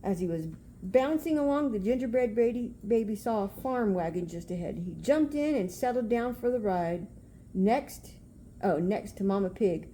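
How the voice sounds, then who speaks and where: A woman's voice: mid-range voice, expressive, normal speed, dark tone, slight background noise, low-quality recording. An older woman, a grandmother reading a storybook aloud to a child in a homely, chatty way. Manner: homely, chatty, pointing things out.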